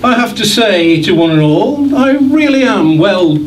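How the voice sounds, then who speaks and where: Shabby graying gentleman stepfather played by a man, pleased with himself, raised pitch, distant noisy stage recording. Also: animated, measured pace. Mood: self-satisfied. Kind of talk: rhyming amateur pantomime.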